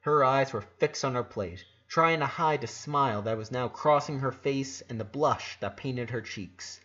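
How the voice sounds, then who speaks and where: A man reads a story aloud in the style of an amateur audiobook. His voice sits at a middling pitch and has a husky, rough edge. The recording is a little dull and lo-fi. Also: measured pace, fairly bright tone. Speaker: narrator reading a story aloud. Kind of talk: amateur audiobook narration of fan fiction.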